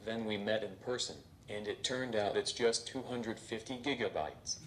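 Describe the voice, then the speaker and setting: A man voicing a robot stand-up comedian who delivers deadpan jokes on stage. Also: fairly low pitch, moderate pace, a little hiss or hum, lightly acted, rough recording. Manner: deadpan, flat punchlines. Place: stage before a live audience.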